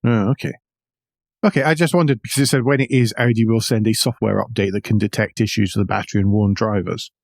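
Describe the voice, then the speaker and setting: A man with a fairly low voice talks through car news as a podcast co-host. He shifts between reading items and chatting, slipping in wry asides. The sound is very dry, with no room around him. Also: fairly even intonation, quick pace, gravelly, darkish tone, no room sound. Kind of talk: conversational car news discussion, partly read out.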